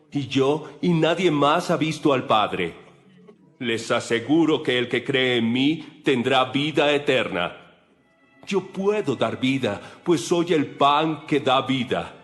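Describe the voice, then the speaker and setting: A solemn male holy teacher played by an actor speaks slowly and deliberately in an audio Bible drama. His pitch is middling and his manner is assured and declarative, with faint music behind him. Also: close to an everyday voice.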